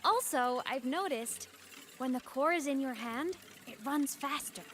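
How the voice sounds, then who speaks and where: A woman's voice: high voice, measured pace, fairly bright tone, a little hiss or hum. A woman voicing a playful, teasing digital ghost girl in a video game, breezy and casual but determined. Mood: pleading, exasperated. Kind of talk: video game story voice acting.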